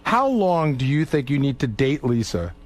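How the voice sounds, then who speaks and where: A man's voice: mid-range voice, very animated, moderate pace. A man on a radio show bantering casually and arguing his point. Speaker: radio show staff member.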